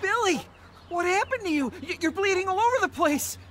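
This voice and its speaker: A woman's voice: very high-pitched, animated, fairly quick, very noisy. A woman playing a frantic rescuer in a radio drama, exclaiming urgently. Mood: alarmed, urgent.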